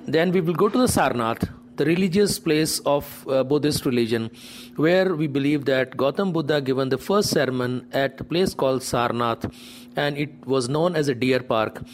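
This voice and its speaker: A man's voice: medium pitch, moderate pace, slight background noise. A man, a tour director explaining the day's plans on location. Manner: explanatory, slightly nonstandard grammar. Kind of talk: on-location conversation.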